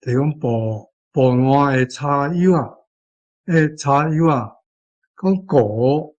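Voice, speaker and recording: A Taiwanese man with a medium-pitched voice teaches language. He explains word choices and demonstrates phrases piece by piece. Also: slow pace, a little mellow, lo-fi sound. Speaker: language teacher giving model translations.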